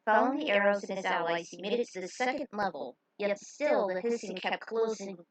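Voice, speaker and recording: A woman narrates a story in an informal way, mixing reading with chatty commentary. Her voice sits at an ordinary pitch. The sound is dry and close, with no room around it. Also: brisk, dry recording. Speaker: fan fiction narrator. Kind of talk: story narration with casual asides.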